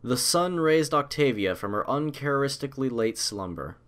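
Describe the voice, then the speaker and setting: A man reading a story aloud as scripted audiobook narration, in a measured, literary storytelling manner. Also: mid-range voice, lively intonation, a bit bright.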